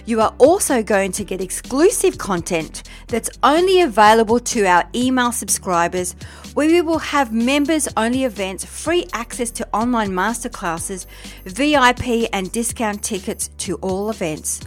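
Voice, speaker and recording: An enthusiastic woman hosts a podcast, reading her introduction from a script and then asking eager questions. Her voice sits a little high, and faint music plays beneath her. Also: animated, a little slow. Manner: upbeat, enthusiastic. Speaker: podcast host.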